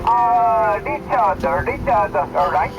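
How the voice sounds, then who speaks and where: A man with a Japanese accent talks slowly over a ham radio link with clear noise. He searches for words in halting English, with frequent hesitations. His voice is high for a man. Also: lively intonation, lo-fi sound. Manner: halting, hesitant, apologetic non-fluent English. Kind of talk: amateur radio contact. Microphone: heard through a radio receiver. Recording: noisy ham radio transmission.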